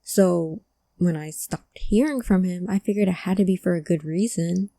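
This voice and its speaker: A woman playing a guarded, grieving friend of a missing person in a cyberpunk audio drama, halting and emotional in delivery. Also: fairly low pitch, warm tone, dry recording. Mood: guarded, wistful.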